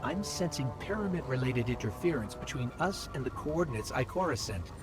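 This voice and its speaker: A small, loyal robot companion from a video game, acted by a man. He is earnest and curious, with animated rises and falls in his thoughtful speech. Music plays clearly behind the voice. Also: medium pitch, brisk, lightly acted. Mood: focused, puzzled. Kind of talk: scripted video game dialogue. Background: background music.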